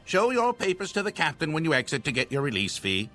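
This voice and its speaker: Casting: an elderly, officious clerk for a fantasy game, polite, deliberate, very sing-song. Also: male voice, fairly high pitch, wildly swooping pitch, pushed and bright, faint music behind, full character voice. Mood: courteous, officious. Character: fussy, courteous elderly census official. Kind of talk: scripted fantasy video game dialogue.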